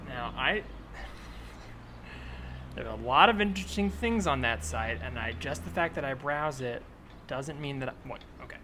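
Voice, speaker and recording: A man's voice: medium pitch, expressive, moderate pace, long pauses, bright, forceful tone. A man playing an earnest, provocative right-wing militia member and self-styled historian, in a hesitant, probing, conversational improvised comedy scene. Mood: earnest, defensive. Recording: clearly noisy, added ambient soundscape. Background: ambient sound effects.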